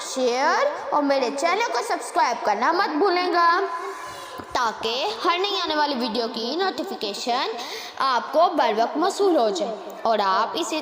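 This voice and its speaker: A woman hosting a storytelling channel, narrating a scripted moral story. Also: high voice. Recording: echoey hall-like reverb, crowd-like background noise.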